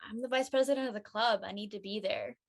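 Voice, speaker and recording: A woman's voice: medium pitch, fast talker, lightly acted. A woman playing a responsible, peacemaking teenage schoolgirl in a drama read. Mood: matter-of-fact. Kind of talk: audio drama table read acting.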